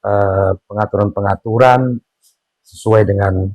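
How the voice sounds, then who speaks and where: Earnest older Indonesian-accented father, low voice. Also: fairly even intonation, darkish tone, no echo. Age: 60s. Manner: earnest, preachy, pauses with fillers, rises emphatically on key points. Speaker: father being interviewed. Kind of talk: casual family conversation.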